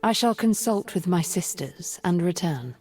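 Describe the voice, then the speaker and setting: Husky, scheming sorceress. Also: female voice, on the low side, expressive, a little mellow, soft background music. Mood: imperious. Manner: measured, cryptic, imperious. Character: powerful, scheming female sorceress, leader of a secret sisterhood. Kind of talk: video game character voice lines.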